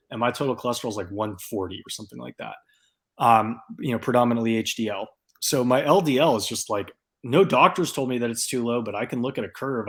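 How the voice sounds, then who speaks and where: Relaxed man hosting a podcast. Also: medium pitch. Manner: thinks aloud with fillers, relaxed and reflective. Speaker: podcast host. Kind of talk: casual conversational podcast.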